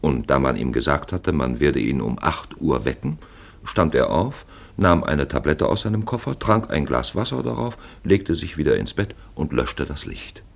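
A grave male storyteller in a radio play. The voice is extremely deep with a gravelly creak. Intonation moves widely through the literary narration. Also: animated, moderate pace, full character voice. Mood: measured.

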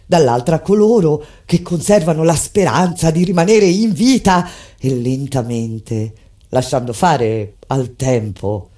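An actress playing a grave resistance fighter, delivering a solemn, declamatory theatrical monologue as a recollection of an uprising. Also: female voice, low voice, measured pace, warm tone. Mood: hushed, bleak. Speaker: stage actress narrating. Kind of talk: dramatic theatrical monologue with live music. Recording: music under the voice. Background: music.

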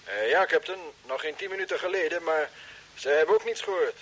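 An actor playing a dutiful, worried base radio operator in an old radio drama, deferential and a little hesitant, with a husky voice. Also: male voice, on the high side, expressive, a little fast, a bit bright, a little hiss or hum, poor audio.

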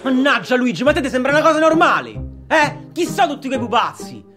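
A man with a Roman accent playing an indignant, sarcastic young flatmate in a comedy sketch, coarse and incredulous. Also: very high voice, fast talker, music in the background. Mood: sarcastic, outraged. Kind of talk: naturalistic sketch comedy acting.

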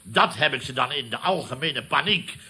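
An actor with a Netherlands Dutch accent playing a pompous middle-aged company boss in a radio comedy, blustering and repeating himself. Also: male voice, mid-range voice, animated, moderate pace, sharp tone. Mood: smugly triumphant. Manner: blustering, repetitive, pompous catchphrases. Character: blustering, self-important male boss of a building firm. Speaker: company boss and club chairman. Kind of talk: 1970s radio comedy acting.

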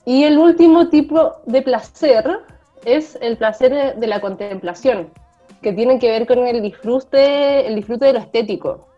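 A woman's voice: a little high, a little slow, dark tone. A woman with a Chilean accent chatting casually as a podcast co-host. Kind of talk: casual chat. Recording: dull lo-fi audio, music bed.

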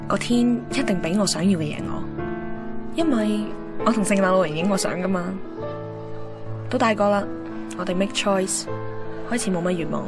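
Casting: actress for young Hong Kong Cantonese woman, lovelorn radio-drama narrator, wry and reflective, mid-pitched, over music. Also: close to an everyday voice. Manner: reflective, mixes English words into Cantonese. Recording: background music under the voice.

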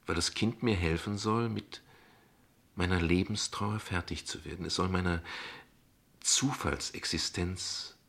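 An evasive father in a radio drama, with a very deep voice. His tone is husky, and he talks in wordy, ironic turns with small hesitations, always deflecting. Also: unhurried, fairly bright tone, heavily acted. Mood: wry, defensive. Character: evasive, ironic, verbose father defending his unconventional family. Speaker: actor in a radio play. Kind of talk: dialogue radio play acting in standard German.

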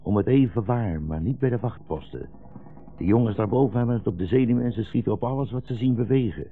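An actor playing a weary, bitter old blind rabbi fleeing war, solemn and brooding, in a 1960s Dutch radio drama. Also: male voice, mid-range voice, fast, faint music behind, natural voice. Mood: grave. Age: older. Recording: dull, muffled 1960s radio recording.

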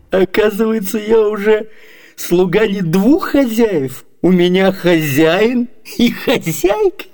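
A man's voice: high-pitched, very animated, slow, a little mellow, exaggerated voice. An actor playing a crafty, cheeky male servant who bumbles through lies with stammers and asides, in radio theatre comedy. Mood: amazed, gleeful.

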